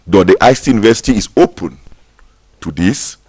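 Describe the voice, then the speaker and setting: Deep-voiced man promoting his university. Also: lively intonation, darkish tone, slightly rough, dry recording. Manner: emphatic, promotional, halting with fillers. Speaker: university representative guest. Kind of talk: TV interview. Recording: dry studio sound.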